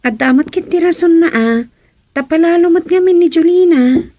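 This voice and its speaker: An actress playing a young wife and daughter with a Filipino Ilocano accent, coaxing and teasing in a radio comedy drama. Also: female voice, very high voice, normal speed, mellow, no room sound. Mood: reasoning.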